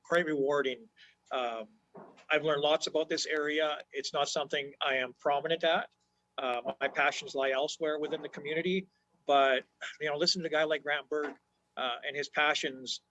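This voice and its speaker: A man, giving a halting, rambling candidate speech at a forum. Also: a little high, a bit bright. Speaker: council candidate. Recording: muffled audio, faint hiss.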